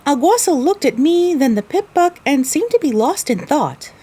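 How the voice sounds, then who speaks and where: A woman's voice: sits high, sing-song, moderate pace, warm tone. A woman narrating a scripted first-person story.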